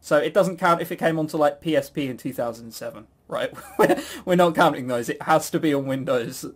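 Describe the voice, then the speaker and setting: Male podcast quizmaster, slightly high voice, chatty and rambling, self-mocking humor with casual swearing. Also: brisk. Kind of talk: casual banter.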